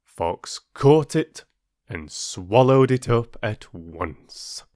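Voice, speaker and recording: A man reading a children's fable aloud in a slow, expressive storytelling manner. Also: mid-range voice, dry recording, clean studio sound.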